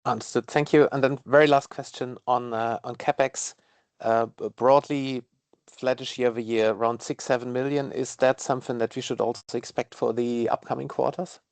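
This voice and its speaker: A man with a gravelly voice puts polite, slightly hesitant questions to a company on an earnings call. The sound is dry and close. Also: medium pitch, fairly even intonation, moderate pace, darkish tone, no echo. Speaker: analyst asking questions.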